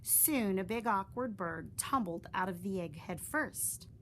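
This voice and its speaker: Expressive storybook reader, woman, lively pitch, light background noise. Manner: expressive storybook reading with slow, drawn-out phrases. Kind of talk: children's story read aloud.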